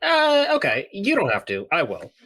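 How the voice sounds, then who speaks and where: Animated podcast player with swooping pitch. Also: normal speed, a little hiss or hum. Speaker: player on an actual-play podcast. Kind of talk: casual banter.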